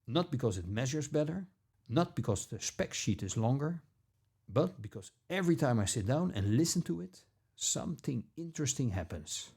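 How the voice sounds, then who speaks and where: A man narrating a scripted product review as an audio gear reviewer, with measured, deliberate phrasing. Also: medium pitch, big pitch swings, darkish tone, slightly rough.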